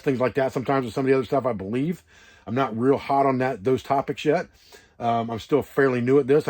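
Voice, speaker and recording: A man talking informally as an instructor on an outdoor preparedness vlog, explaining things in a rambling, hands-on way. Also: mid-range voice. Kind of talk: casual instructional vlog talk. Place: wall tent at a hunting camp.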